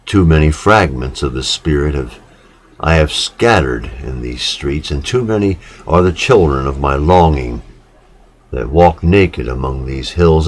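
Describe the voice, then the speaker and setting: A man giving a solemn literary reading, measured and dramatic, with pauses between short phrases. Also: extremely low pitch, slightly rough. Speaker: reader of poetic literature.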